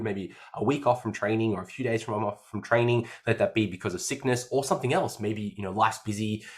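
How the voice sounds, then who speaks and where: Upbeat nasal-sounding man. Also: lowish voice. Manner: upbeat, chatty, repeats phrases. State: slightly nasal after an illness. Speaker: fitness coach and podcast host. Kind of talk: casual podcast chat.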